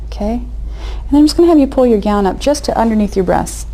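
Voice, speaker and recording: A woman clinician calmly giving step-by-step instructions to a patient during a demonstration of a physical exam. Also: on the high side, fast, poor audio. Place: exam room, recorded for video. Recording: clear background noise.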